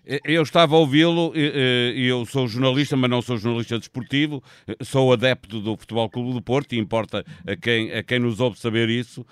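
A man hosting a news podcast as a journalist, reading a scripted introduction and asking questions with some halting fillers. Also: medium pitch, fairly even intonation, clear, resonant tone, dry recording, clean studio sound.

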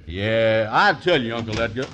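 A man's voice: on the low side, expressive, moderate pace, lo-fi sound, close to an everyday voice. A man playing a pompous, bumbling schemer who stammers his way out of trouble, in a 1940s radio comedy. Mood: blustering. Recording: noisy old radio broadcast.

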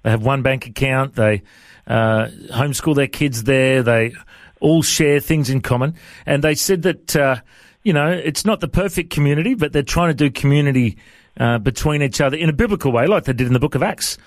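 A man's voice: mid-range voice, brisk, no echo, studio quality. Friendly man hosting a radio interview. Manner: friendly, informal, with frequent fillers. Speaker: radio show host. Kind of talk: conversational interview.

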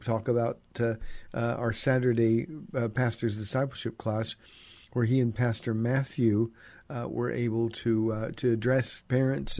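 A man hosting a call-in radio show as a pastor, giving Bible teaching in a relaxed, conversational way with frequent fillers. His voice sits deeper than usual because he is under the weather. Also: no echo. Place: radio studio.

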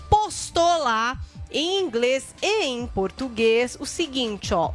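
A woman presents the news in a chatty commentary style, reading posts aloud as she goes. Her voice sits a little high, with faint music underneath.

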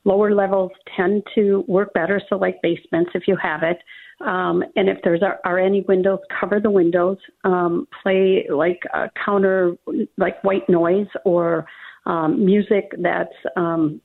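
Gravelly-voiced woman vet on a phone line. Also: lowish voice, flat delivery, unhurried. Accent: Minnesotan. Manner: practical, filler-heavy advice with many ums. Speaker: veterinarian guest. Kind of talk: radio call-in interview.

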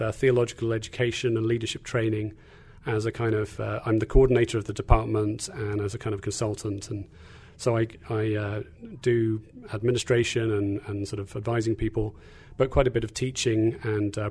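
A middle-aged man with an English accent, talking as a guest in a measured, articulate way with frequent fillers. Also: lowish voice, darkish tone. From England. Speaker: guest, Bible teacher. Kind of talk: casual interview chat.

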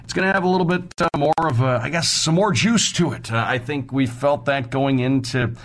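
A man's voice: on the high side, brisk. A man hosting a sports radio show, previewing games and reading promos in a conversational, folksy way.

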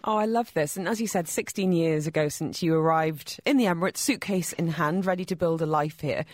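A woman's voice: low voice, a little fast, dry recording, clean studio sound. A woman hosting a radio talk show, asking questions in a friendly, conversational way. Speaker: radio host.

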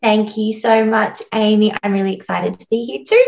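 A woman's voice: mid-range voice, moderate pace, creaky, highs cut off. An Australian woman in her thirties, a guest on a podcast, telling her story in a chatty way with lots of "like" and "um". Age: 30s. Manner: warm, chatty, frequent "like" and "um". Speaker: guest, postpartum doula. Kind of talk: casual interview storytelling.

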